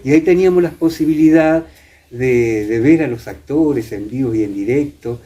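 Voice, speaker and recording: Hesitant older Argentine man, mellow voice. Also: medium pitch. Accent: Argentine, Entre Ríos. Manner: hesitant, pausing often. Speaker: interview guest. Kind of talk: reminiscing in conversation. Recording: clear background noise.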